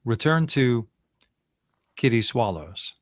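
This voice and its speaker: A man's voice: medium pitch, big pitch swings, slow pace, dry recording. A man reading stage directions as narrator of a screenplay table read, in clipped, matter-of-fact phrases.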